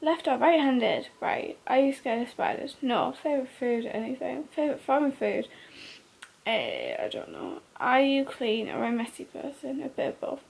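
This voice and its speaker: Sleepy northeast girl, 18, high voice w lots of fry, lying in bed w faint music under. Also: normal speed. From North East England. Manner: sleepy, low-key, short flat answers. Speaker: vlogger. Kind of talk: casual Q&A vlog.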